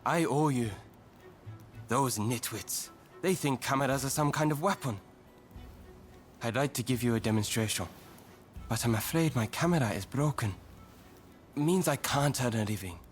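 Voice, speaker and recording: A man voicing a polite, world-weary photographer in a video game, with a measured, dry delivery. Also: mid-range voice, animated, faint music behind, lightly acted. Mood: rueful. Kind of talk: video game cutscene acting.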